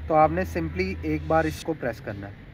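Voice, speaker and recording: A man's voice: a little high, lively intonation, moderate pace, low-quality recording. A man hosting a motovlog, explaining steps in a casual how-to style and mixing in English words. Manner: explanatory, mixes English words into his speech. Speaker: motovlog host giving a product tutorial. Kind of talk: casual step-by-step how-to narration. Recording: music playing behind the voice. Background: background music.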